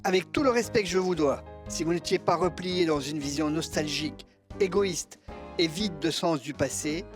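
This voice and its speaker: A stubborn, dutiful attendant played by a man speaks in measured, over-formal phrases with a pompous stiffness. It is scripted French audio drama, with clear music playing behind the voice. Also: a little high, close to an everyday voice. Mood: reproachful, stiff.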